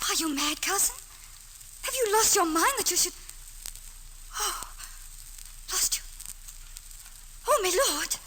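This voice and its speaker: Very high-pitched flustered noblewoman. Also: animated, slow, long pauses, pushed and bright, full character voice. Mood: alarmed. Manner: breathless, flustered, archaic speech. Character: excitable, alarmed young noblewoman. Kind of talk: old-time radio drama acting. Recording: faintly noisy vintage radio recording.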